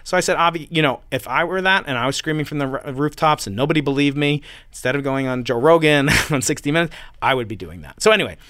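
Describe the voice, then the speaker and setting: A man, a physicist guest, telling stories and pushing back in a lively conversational interview. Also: a little high, quick pace, dry recording, studio quality. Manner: animated, storytelling, pushes back. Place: university building.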